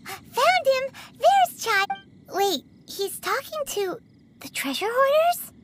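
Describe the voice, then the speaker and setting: Squeaky, very high fairy sidekick. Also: female voice, sing-song, takes it slowly, noisy background, exaggerated voice. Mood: excited, puzzled. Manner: refers to self in third person, childlike. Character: tiny chirpy fairy-like guide, female, excitable and fussy. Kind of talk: anime video game acting. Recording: game music behind the voice. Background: tinkling children's music.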